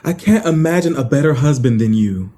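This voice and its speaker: A man's voice: medium pitch, expressive, a little fast, warm tone, close to an everyday voice. A man playing an earnest, often exasperated life coach in a comic audio drama.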